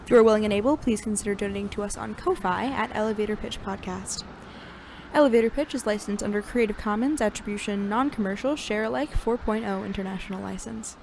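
A woman's voice: mid-range voice, fast talker, vocal fry, darkish tone. A woman announcer giving a scripted read of podcast credits. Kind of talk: scripted narration. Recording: faint background noise.

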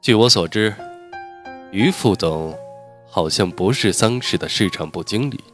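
A composed young architect with a cool, formal reserve, voiced by a man in an audiobook. His voice sits very low and even, with soft music playing underneath. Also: unhurried. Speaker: voice actor in an audiobook. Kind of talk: audiobook dialogue reading.

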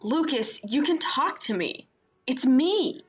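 A woman's voice: sits high, expressive, slow pace, dull audio. A woman playing a caring but blunt sister in a radio play, teasing and insistent with her brother. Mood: insistent, supportive.

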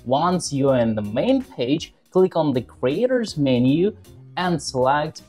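Upbeat guy in his 20s doing a tutorial, really animated intonation, bit of music under it. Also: on the high side, takes it slowly. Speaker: tutorial presenter. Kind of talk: scripted tutorial narration to camera.

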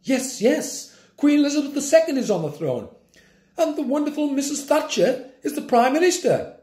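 A woman's voice: high voice, very animated, normal speed, dark tone, voice pushed up. A man reading a storybook aloud, voicing a prim, stern female schoolteacher who talks in a haughty, clipped, dismissive way. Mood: exasperated. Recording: roomy echo.

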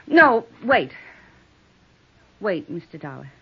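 Guarded, coy American widow, muffled sound. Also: female voice, medium pitch, sing-song, slow pace, creaky, husky, dry recording, heavily acted. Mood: apologetic. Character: strained, seductive widow under suspicion. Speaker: radio actress. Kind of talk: old-time radio drama acting. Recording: muffled old radio broadcast recording.

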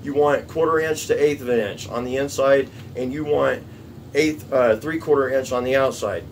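A man's voice: mid-range voice, normal speed. A middle-aged man, giving a casual, plainspoken hands-on tutorial and repeating his points. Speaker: DIY tool-building presenter. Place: small cluttered indoor workshop. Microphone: camera a few steps away. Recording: distant camera mic, noisy workshop hum.

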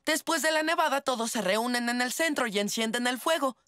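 A woman voicing an earnest, eager young boy in a cartoon dub, explaining things clearly. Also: fairly high pitch, a little fast, fairly bright tone, natural voice. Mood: storytelling.

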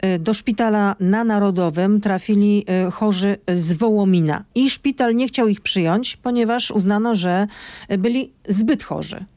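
A woman's voice: mid-range voice, a little slow, warm tone, no room sound. A woman, hosting a radio interview and asking direct, pressing questions. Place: radio studio. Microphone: studio microphone close in front.

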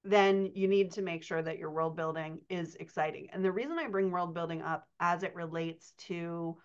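A woman's voice: lowish voice, moderate pace, smooth. Chatty laughing woman on a dull call line. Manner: chatty, laughs often, animated storytelling. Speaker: writing coach and editor. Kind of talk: casual podcast chat over video call. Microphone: computer mic on video call. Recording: slightly dull lo-fi call audio.

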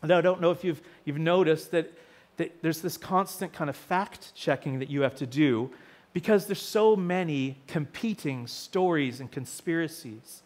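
A man with a sore, hoarse throat preaching from a lectern to a small congregation, his voice sitting a little high for a man. He slips into animated quoted voices while telling a story, then settles into plainer teaching. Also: moderate pace. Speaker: pastor. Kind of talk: sermon. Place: small church hall with seated congregation. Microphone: lectern mic, far camera.

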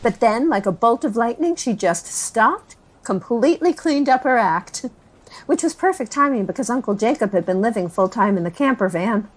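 A woman's voice: on the high side, expressive, moderate pace. An actress playing a chatty, nervous woman in her forties in an audio drama, rambling through family memories with sighs and self-corrections. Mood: reflective. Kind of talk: interviewee in a found-footage audio drama.

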